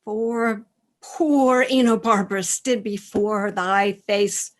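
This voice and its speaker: A woman's voice: medium pitch, steady tone, slow pace, close to an everyday voice. A woman playing a remorseful soldier who deserted his master, speaking in anguished, declamatory Shakespearean radio play style. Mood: despairing.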